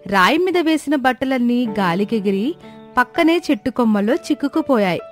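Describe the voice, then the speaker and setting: A woman's voice: a little high, expressive, fairly quick. A woman reading a scripted moral story as its narrator. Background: music.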